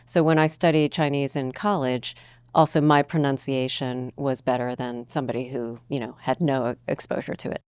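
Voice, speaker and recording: Smooth, deep-voiced woman host. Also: steady tone, normal speed, a little mellow, no room sound. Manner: attentive, encouraging backchannels. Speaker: podcast host. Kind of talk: conversational interview.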